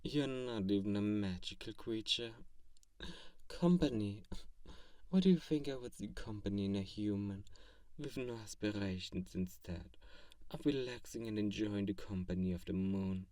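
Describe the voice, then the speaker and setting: A man's voice: lowish voice, mellow, close to an everyday voice. A man playing a haughty, teasing vampire with a secretly kind streak, theatrical and whispery in an ASMR roleplay. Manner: slow theatrical delivery with whispery asides and chuckles. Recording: roomy echo, distant from mic.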